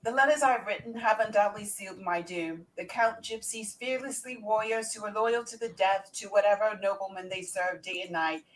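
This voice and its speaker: A woman playing an earnest young English solicitor held captive, reading his journal gravely and dramatically for a radio play. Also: medium pitch, fairly even intonation, moderate pace, few pauses, a little hiss or hum, natural voice, rough recording. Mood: ominous, puzzled.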